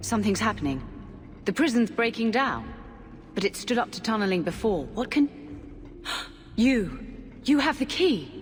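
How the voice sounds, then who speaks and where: An actress playing an excitable, astonished woman in a fantasy video game. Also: fairly high pitch, measured pace, pauses often, long reverb tail, slight background noise. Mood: startled, excited. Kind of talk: video game cutscene acting.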